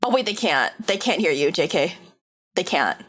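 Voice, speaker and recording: A woman's voice: on the low side, lively intonation, moderate pace, fairly bright tone, faint music behind. A woman VTuber chatting casually on a livestream, bubbly, laughing often and swearing casually. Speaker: VTuber streamer.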